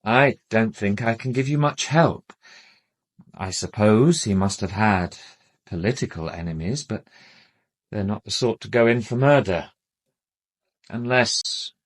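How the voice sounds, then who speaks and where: An actor with an upper-class English accent playing an urbane, haughty private doctor who speaks in a measured, formal, disdainful way in a radio drama. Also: male voice, on the low side. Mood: guarded.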